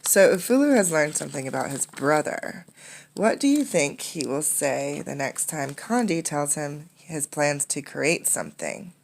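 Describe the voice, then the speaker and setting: A woman with a low, fry-tinged voice reads a picture book aloud. She narrates expressively and steps out of the story to ask children simple questions, as a teacher would. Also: expressive, a little slow, a little hiss or hum.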